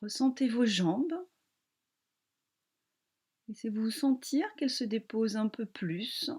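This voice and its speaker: Slow, calm woman guiding relaxation. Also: on the high side, breathy edge. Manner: calm, soothing, gentle instructions with long pauses. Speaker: yoga teacher. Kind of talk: guided relaxation meditation.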